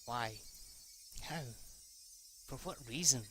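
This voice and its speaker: A man's voice: medium pitch, slow, bright, forceful tone, slight background noise. A man reading a visual novel aloud as an amateur let's player, in narration that can stumble and restart. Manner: stumbles over words and rereads lines; casually foul-mouthed when reacting. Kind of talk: amateur read-aloud narration with off-the-cuff reactions.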